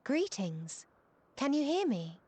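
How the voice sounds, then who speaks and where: A woman playing a young, empathic birdlike creature girl in a video game, with soft, deliberate, childlike phrasing. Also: high-pitched, big pitch swings, a little hiss or hum, full character voice. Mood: gentle, earnest.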